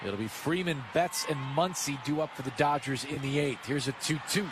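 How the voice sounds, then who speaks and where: Man, American accent, higher-set voice, baseball radio commentary, clear ballpark noise behind. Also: normal speed. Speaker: baseball radio play-by-play announcer. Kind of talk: live sports commentary. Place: stadium broadcast booth. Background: ballpark ambience.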